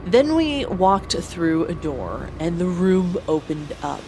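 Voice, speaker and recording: A woman's voice: lowish voice, big pitch swings, slow, a little mellow, slight background noise, exaggerated voice. A woman playing an earnest young space pilot who narrates her own story in an audio drama. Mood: reflective narration. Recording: clear vehicle ambience behind voice.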